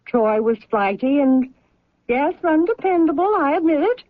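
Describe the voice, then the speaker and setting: A woman's voice: high-pitched, expressive, normal speed, no echo, narrow, tinny audio. An actress playing a doting, indulgent older mother of grown sons in a 1970s radio drama, with a coaxing, fond manner. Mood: indulgent, persuasive. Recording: thin, band-limited old radio recording.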